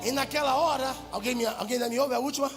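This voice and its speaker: A Brazilian man preaching with fervor, his voice strikingly high and gravelly. He lurches from shouts to hushed asides. The sound carries the long reverb of a big church hall, with faint music underneath. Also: fast talker. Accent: Brazilian Portuguese. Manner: fervent, swings from loud shouts to low asides. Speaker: pastor. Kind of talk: preaching a sermon.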